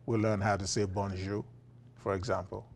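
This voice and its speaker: A man with a Liberian accent, a philosophy professor being interviewed, talking in a relaxed way with frequent fillers and chuckles. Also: fairly low pitch, normal speed, a little hiss or hum. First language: Liberian English. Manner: chuckling, many uh fillers and restarts. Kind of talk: conversational interview.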